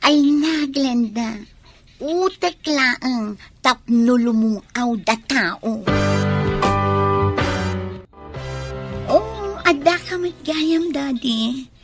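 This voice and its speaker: An actress playing a coaxing young student who juggles two lovers in a radio drama, with an Ilocano accent. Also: female voice, high-pitched, animated, very slow, faint music behind, exaggerated voice. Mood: defiant. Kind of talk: Filipino radio drama acting.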